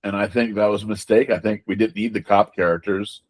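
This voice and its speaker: A man being interviewed as a game designer, talking casually and haltingly, often repeating words as he explains. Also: lowish voice, steady tone.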